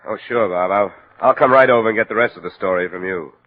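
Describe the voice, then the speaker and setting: An actor playing a tough, sober insurance investigator in a mid-century radio detective drama. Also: male voice, fairly low pitch, fast talker, heavy reverb, over music, close to an everyday voice. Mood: sober, concerned. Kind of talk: 1950s radio detective acting. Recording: tinny old radio recording.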